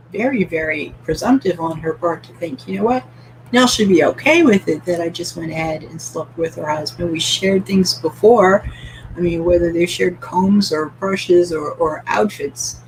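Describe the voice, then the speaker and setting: A woman's voice: on the low side, a little mellow, a little hiss or hum, rough recording. Canadian woman hosting a chatty book discussion. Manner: inquisitive, poses open questions to viewers; laughs lightly between comments. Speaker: book club host. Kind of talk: live-stream discussion, reading out viewer comments.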